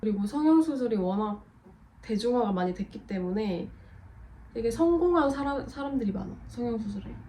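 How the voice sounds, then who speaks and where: A woman's voice: on the high side, lively intonation, a little fast, dark tone, slight background noise, low-quality recording. Young Korean woman with a cold. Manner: chatty, explaining. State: has a cold. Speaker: co-host of a couple channel. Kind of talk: casual chat.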